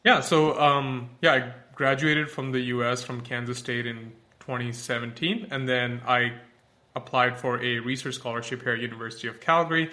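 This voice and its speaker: A man's voice: mid-range voice, pushed and bright. A young man from Pakistan, a tech company founder, answering podcast interview questions thoughtfully with many fillers and restarts.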